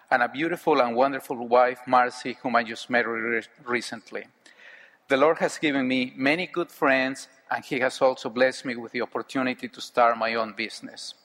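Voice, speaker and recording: A middle-aged man from Mexico, speaking English with a Mexican Spanish accent, giving an earnest, measured personal testimony speech. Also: medium pitch, fairly bright tone. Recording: hall with long reverb.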